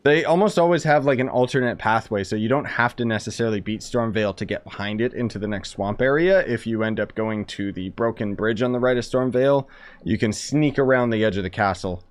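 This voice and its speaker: A man's voice: on the low side, fairly quick. A man streaming a video game, giving casual, conversational and opinionated commentary as he goes.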